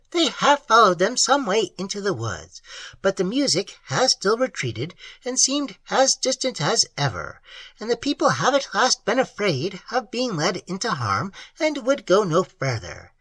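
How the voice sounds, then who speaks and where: A man's voice: sits high, huge pitch swings, moderate pace, a bit bright, dry recording, voice pushed up, heavily acted. A reader voicing an elderly, widowed, superstitious village man who speaks earnestly in old-fashioned phrasing. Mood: earnest, uneasy. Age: older. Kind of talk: audiobook character reading.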